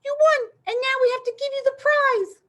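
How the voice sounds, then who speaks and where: A woman voicing an excitable male storybook mouse in a squeaky voice. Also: moderate pace. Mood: urgent.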